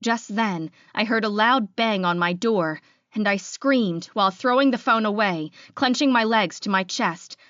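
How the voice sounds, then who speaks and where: A woman's voice: mid-range voice, a little slow, a bit bright, dry recording, lo-fi sound. A woman reading a scripted audiobook, narrating dramatic fiction in the first person.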